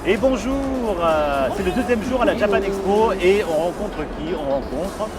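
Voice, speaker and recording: A man's voice: high-pitched, animated, moderate pace. A man doing casual on-the-spot vlog interviews, chatty and informal, often echoing answers. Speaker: vlogger interviewing convention-goers. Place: busy anime convention hall. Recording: loud crowd and music behind.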